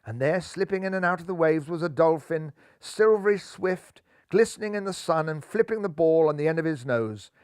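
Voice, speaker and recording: A man in his sixties reading a children's story aloud in a lively, theatrical way, seated in a living room with a lapel mic. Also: a little high, normal speed, hardly any pauses, smooth, no room sound, clean studio sound. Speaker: storybook narrator.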